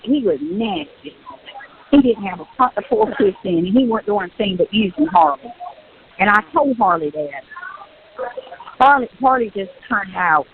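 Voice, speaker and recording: A woman's voice: medium pitch, expressive, normal speed. An older woman with a Southern US accent, venting on a personal phone call and insistently repeating phrases for emphasis. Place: recorded prison phone call. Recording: tinny phone line with hiss.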